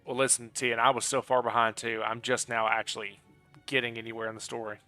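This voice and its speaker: A man's voice: mid-range voice, level tone, fast, bright, forceful tone, soft background music. A man giving casual live game commentary, explaining things in a rambling, easygoing way. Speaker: game streamer.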